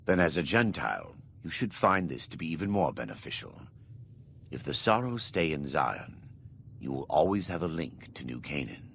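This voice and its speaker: An actor playing a devout, vengeful former warlord in a video game, speaking in a solemn, measured, preacherly way with a gravelly voice. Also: male voice, extremely low pitch, sing-song, fairly bright tone, slight background noise, full character voice. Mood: grave, persuasive. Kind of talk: video game dramatic dialogue.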